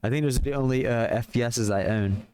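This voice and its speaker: A man's voice: mid-range voice, fast talker, darkish tone, slight background noise. A young man from Pennsylvania with a Philadelphia accent, chatting casually and rambling to his stream viewers. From Pennsylvania, USA. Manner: rambling, chatty, friendly, lots of fillers.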